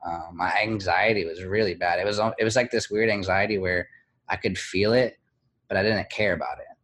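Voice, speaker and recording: A man speaking as an interview guest, candid and reflective, pausing often with fillers and restarts. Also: low voice, fairly even intonation, fairly bright tone. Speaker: guest, blogger.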